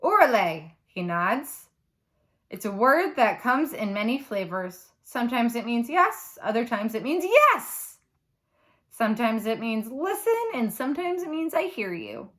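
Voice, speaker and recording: Bright, animated woman reading a story aloud. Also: fairly high pitch, a little slow. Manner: animated, dramatic emphasis. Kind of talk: expressive read-aloud of a children's novel.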